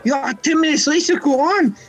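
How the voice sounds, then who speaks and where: An actor playing a fussy French nightclub manager in an amateur radio play, stammering and exasperated. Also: male voice, extremely high pitch, a little fast, faint music behind.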